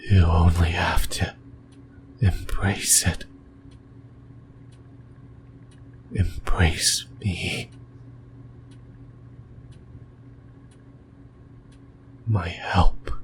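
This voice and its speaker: A lonely, possessive demon whispers at your ear, voiced by a man, breathy and very low. He creeps through each phrase slowly and deliberately, leaving long pauses. It is an eerie, yearning ASMR roleplay monologue. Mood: seductive, coaxing.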